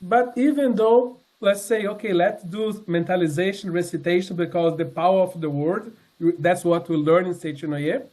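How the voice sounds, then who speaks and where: A man in his sixties giving a personal testimony to a congregation, speaking earnestly and haltingly, with many fillers and restarts. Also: high-pitched, a little mellow. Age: 60s. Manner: earnest, sincere. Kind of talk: personal testimony talk with slides.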